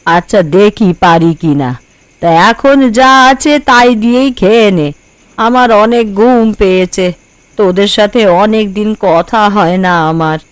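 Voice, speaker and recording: Woman acting a slow-spoken, fussing older mother. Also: mid-range voice, clean tone, dry recording, close to an everyday voice. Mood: weary, tender. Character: older widowed mother-in-law, bossy, thrifty, fussing over sons. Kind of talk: Bengali cartoon voice acting.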